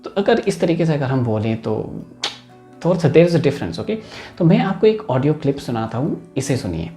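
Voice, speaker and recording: Indian guy teaching english, chatty and relaxed, roomy sound with a bit of music under it. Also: fairly high pitch, normal speed. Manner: chatty, mixes English into the talk. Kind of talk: casual YouTube English lesson.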